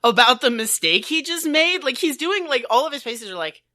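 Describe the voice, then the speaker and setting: Lively, bright-voiced, high-pitched woman. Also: normal speed. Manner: irreverent, sweary, exclamatory. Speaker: podcast co-host. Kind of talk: casual comedic chat about an old TV show.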